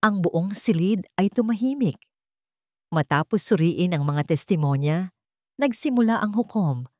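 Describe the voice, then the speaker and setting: A woman with a Filipino accent reads a scripted dramatic story in measured, short phrases. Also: fairly low pitch, lively intonation, mellow, dry recording, studio quality.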